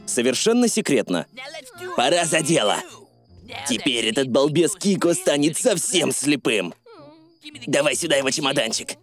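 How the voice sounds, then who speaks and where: A man's voice: medium pitch, sing-song, normal speed, pauses often, soft background music, natural voice. A man voicing a sneaky, bossy bully with a conspiratorial manner in a cartoon dub. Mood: scheming. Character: sneaky scheming bully plotting revenge at night.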